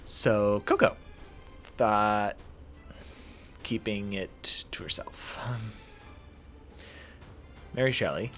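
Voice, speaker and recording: A man streaming a visual novel playthrough, reading it aloud in a halting, dramatic way and correcting himself. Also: lowish voice, fairly bright tone, faint music behind.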